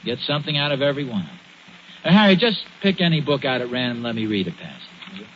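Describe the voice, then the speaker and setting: A man's voice: medium pitch, big pitch swings, fast, natural voice. An American man playing an earnest, mildly pompous suburban father in a 1940s radio sitcom, lecturing a little hesitantly. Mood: mildly indignant. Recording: muffled 1940s radio recording, faint noise.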